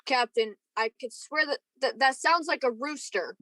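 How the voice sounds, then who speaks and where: A woman's voice: sits high, normal speed, pushed and bright. A woman playing an edgy, impatient young lieutenant in a science fiction radio play, with a casual, restless delivery. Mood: uneasy.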